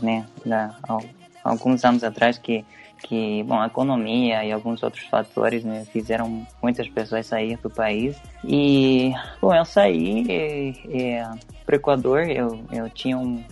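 A young man from Venezuela, a native Spanish speaker, answering interview questions in an unhurried, hesitant way with many restarts. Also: medium pitch, gravelly, darkish tone. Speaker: interviewee, English teacher. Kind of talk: casual interview.

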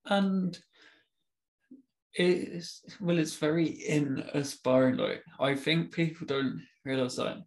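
A man's voice: on the high side, slow. A man interviewing a guest in casual, encouraging talk.

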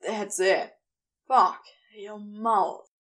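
A woman's voice: medium pitch, steady tone, very slow, fairly bright tone. A woman reading the part of an adoring, commanding male lover in prose fiction, earnest and intimate. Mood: breathless, urging.

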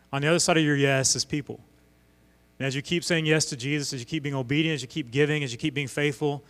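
A middle-aged man from Oklahoma preaching a sermon as a visiting missionary pastor, telling stories in an animated way. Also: medium pitch. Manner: animated storytelling with voiced asides. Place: church sanctuary. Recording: long hall reverb.

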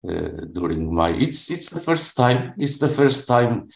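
Slow, gravelly older Portuguese-accented man. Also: mid-range voice, sing-song. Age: 70s. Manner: halting, with many restarts and fillers. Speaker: language student. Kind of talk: classroom self-introduction. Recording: roomy echo.

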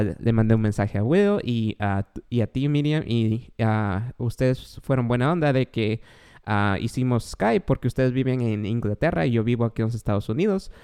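A man's voice: medium pitch, moderate pace, a little mellow, smooth. A man with a Guatemalan accent hosting his podcast, enthusiastic and rambling as he asks questions. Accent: Guatemalan Spanish. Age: adult, father of a young child. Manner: enthusiastic, rambling, self-described joker. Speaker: podcast host. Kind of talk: casual podcast interview.

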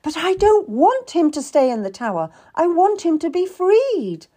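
A woman narrator plays a Tudor servant woman in a historical audiobook. Her voice sits high and moves freely in pitch. She is earnest and urgent, beseeching her betters. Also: sing-song, normal speed, dark tone, slightly rough, full character voice. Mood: wary, reluctant. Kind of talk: dramatised audiobook character.

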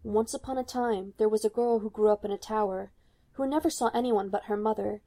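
A woman voicing a young woman who speaks low and steady. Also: brisk, close to an everyday voice. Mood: cold resolve. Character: young woman locked in a tower, quietly dangerous.

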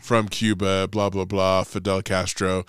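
A man's voice: low voice, little pitch movement, a little slow, a bit bright, smooth. A man in his forties co-hosting a podcast, chatting casually and ranting with profanity when angry. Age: 40s. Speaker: podcast co-host. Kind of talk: casual chat.

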